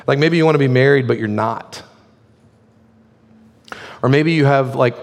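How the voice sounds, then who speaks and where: A man preaching a sermon as a pastor, his voice hoarse and his delivery conversational, full of "like" fillers. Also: mid-range voice, a little mellow, big-room sound.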